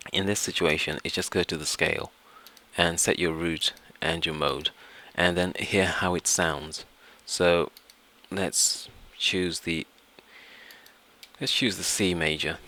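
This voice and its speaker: A man presenting a step-by-step software tutorial, explaining things and often repeating and rephrasing his phrases. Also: deep voice.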